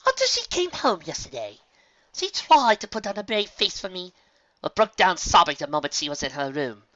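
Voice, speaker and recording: A woman putting on a posh, dramatic unicorn mare from a storybook, raised well above a plain speaking voice and swooping through wide, bright lines. She sounds refined and fussy, carefully polished in every phrase. The recording is dry and a little dull. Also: on the low side, big pitch swings, moderate pace, dry recording, voice pushed up. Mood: stern. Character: elegant, dramatic grown unicorn mare seamstress.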